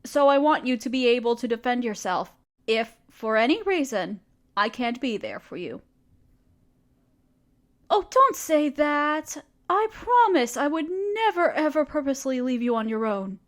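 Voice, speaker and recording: A gentle, motherly spider queen, acted by a woman in an audio roleplay. Her voice sits high and soft, patient and reassuring, with a doting, protective warmth in how she talks. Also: big pitch swings, a little slow. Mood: tender.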